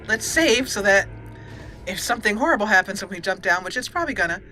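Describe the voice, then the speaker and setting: A woman's voice: medium pitch, lively intonation, normal speed, sharp tone, faint music behind, rough recording. A woman giving casual, chatty let's play commentary as a gaming host, playfully exclaiming and talking to the characters on screen.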